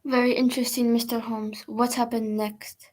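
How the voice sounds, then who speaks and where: A woman plays an official conducting an inquiry in a radio drama. Her voice is slightly high and very even in pitch. She questions formally, with a controlled, probing manner. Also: normal speed, lightly acted. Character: formal, probing French female prefect questioning a witness.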